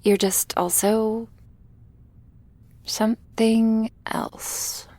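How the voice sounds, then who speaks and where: A woman playing a shy, gentle vampire girl in an ASMR roleplay, speaking softly and hesitantly, awkward but reassuring, often slipping into a whisper. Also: a little high, flat delivery, no room sound. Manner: hesitant, halting, soft-spoken with breathy whispers. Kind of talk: ASMR roleplay addressed to the listener.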